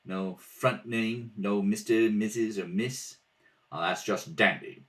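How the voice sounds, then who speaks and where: A man's voice: lowish voice, steady tone, takes it slowly. A man doing a read-through of a radio mystery script, acting out several parts. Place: at home, at a kitchen table.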